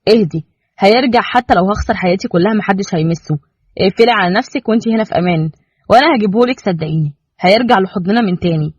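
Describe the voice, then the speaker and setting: Brief: woman doing a stern, protective Egyptian cop husband, husky, rapid-fire, dull dry storybook audio. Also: mid-range voice, darkish tone, dry recording, lightly acted. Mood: tender. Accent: Egyptian Arabic. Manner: firm, lecturing, rapid. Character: protective young male police officer, stern but loving husband. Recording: dull, muffled, dry recording.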